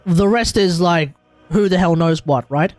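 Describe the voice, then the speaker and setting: An Australian man with a notably high voice talks casually to a livestream. He is blunt and slangy, rambling through his thoughts and leaning hard on his points. The sound is close and dry, with music playing underneath. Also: a little slow, a little mellow, dry recording. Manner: blunt, rambling, emphatic, slangy, thinking aloud. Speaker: livestreamer, gaming content creator. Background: music in the background.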